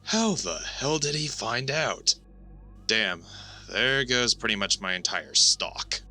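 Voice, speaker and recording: A man playing a gruff, blunt chief engineer and former boxer in an audio drama. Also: mid-range voice, sing-song, takes it slowly, sharp tone, soft background music, exaggerated voice. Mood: grumbling.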